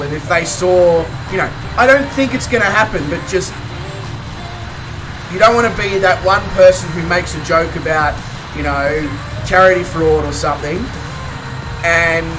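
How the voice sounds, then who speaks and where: An Australian man streaming a game, chatting casually with viewers in a croaky voice, rambling and chuckling between remarks. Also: high voice, recorded from a distance, noisy background. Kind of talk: casual chat with live viewers.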